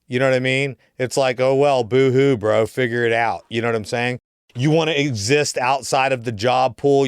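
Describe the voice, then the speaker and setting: A man's voice: medium pitch, clear, resonant tone, no room sound, clean studio sound. A man in a casual podcast chat, talking in a slangy, emphatic way and often checking that the listener follows. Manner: slangy, emphatic, often checks with "you know what I'm saying". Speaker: podcast guest or co-host talking about real estate work.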